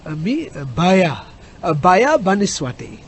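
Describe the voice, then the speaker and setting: High-voiced, nervous Indian cab driver. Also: male voice, big pitch swings, unhurried, a little hiss or hum. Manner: hesitant yet garrulous, earnest, comically emphatic. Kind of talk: comic radio drama acting.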